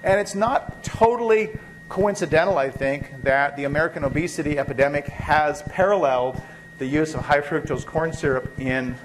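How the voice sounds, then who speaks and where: Man, professor, slightly high voice, coming down with a cold, loose lecture style, noisy lecture tape. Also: moderate pace. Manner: loose, rambling, many fillers and restarts. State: catching a cold, occasional coughs. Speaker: biochemistry professor. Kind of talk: university lecture. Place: lecture hall.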